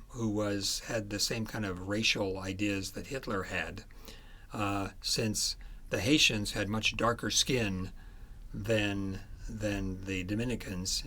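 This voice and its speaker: Guy with a lowish voice, author being interviewed, kinda halting, chatting casually. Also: bright, forceful tone. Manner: halting, searching for words. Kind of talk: conversational interview about history and a book.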